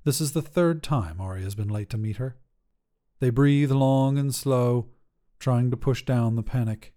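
Slow, mellow male story narrator. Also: mid-range voice. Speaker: narrator of a fiction podcast. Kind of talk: scripted short story narration.

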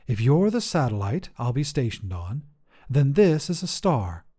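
Man voicing a tender, mellow astronaut mother. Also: mid-range voice, sing-song, measured pace, breathy edge. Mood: tender, playful. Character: gentle astronaut mother reassuring her small daughter. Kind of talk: storybook character voice in prose narration.

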